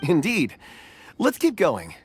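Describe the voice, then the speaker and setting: A woman playing a gentle, thoughtful young man in a video game dub, polite and earnest with some hesitation. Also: low voice, huge pitch swings, slow pace, slight background noise, exaggerated voice.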